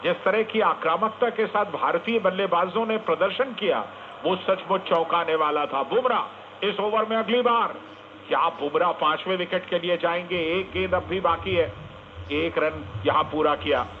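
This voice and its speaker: A man's voice: sits high, normal speed. A man giving emphatic live cricket commentary, repeating phrases for effect. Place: live sports commentary picked up on radio. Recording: noisy narrowband AM radio broadcast.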